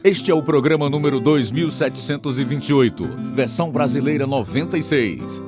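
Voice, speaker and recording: A Brazilian man announces a radio programme from a script. His voice is medium in pitch and formal in delivery. Guitar music plays clearly behind him. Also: fairly quick.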